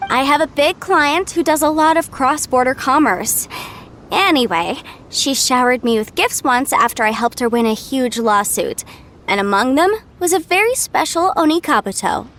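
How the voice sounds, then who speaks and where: A woman's voice: high voice, lively intonation, normal speed, a bit bright, slight background noise. A woman voicing a breezy, confident young female adviser in a video game, chatty and self-assured.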